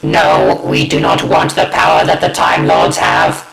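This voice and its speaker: Slow, grating alien war machine. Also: male voice, high voice, gravelly, loud music behind the voice, heavily acted. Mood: stern, commanding. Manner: slow, flat, halting delivery. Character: menacing Dalek, an alien war machine in a toy parody. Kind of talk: amateur spoof voice acting. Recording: echoey, roomy sound.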